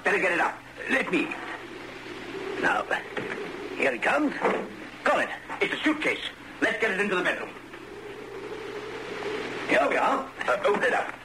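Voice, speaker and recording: High-pitched, alert investigator, noisy recording. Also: male voice, huge pitch swings, normal speed, long pauses, full character voice. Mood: urgent. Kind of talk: British radio thriller acting. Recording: noisy radio drama recording.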